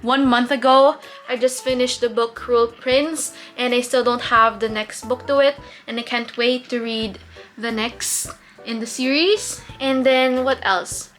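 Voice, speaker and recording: A woman's voice: fairly high pitch, steady tone, measured pace, fairly bright tone, faint music behind. A woman with a Filipino accent chatting casually as a book vlogger, full of fillers and self-corrections. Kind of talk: casual vlog chat.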